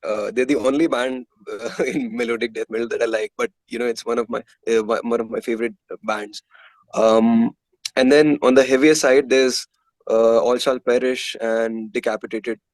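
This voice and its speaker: A man's voice: medium pitch, steady tone, normal speed, a little mellow, no room sound, poor audio. A man with an Indian accent talking casually as a musician being interviewed.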